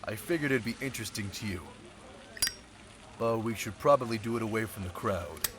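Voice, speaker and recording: A man's voice: fairly low pitch, fairly quick, heavy background noise, lightly acted. A man playing a sly, centuries-old thief turned security specialist, talking in a casual, teasing way in an audio roleplay drama. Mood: businesslike, confiding. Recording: loud crowd chatter behind voice.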